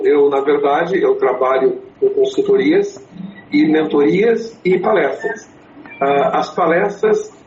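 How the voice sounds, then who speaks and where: 60s guy, english native, fairly high voice, thinks out loud w lots of fillers, echoey dull sound. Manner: thoughtful, with many fillers and restarts. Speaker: storytelling consultant and speaker. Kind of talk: interview answers. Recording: echoey, dull, slightly noisy.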